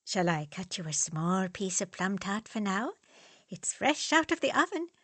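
A woman's voice: mid-range voice, sing-song, normal speed, fairly bright tone, no echo. A woman voicing a doting, fussing older German housekeeper. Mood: coaxing.

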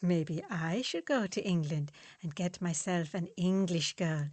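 Woman reading a cocky young student, loud and teasing, slow delivery. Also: on the low side, no room sound. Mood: boisterous. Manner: brash, teasing. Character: lanky, brash young male student, teasing.

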